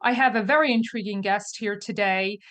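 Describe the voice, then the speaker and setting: A woman's voice: mid-range voice, normal speed, a bit bright. A woman hosting a podcast, welcoming her guest and asking questions in an enthusiastic, affirming way. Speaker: podcast host. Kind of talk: podcast interview.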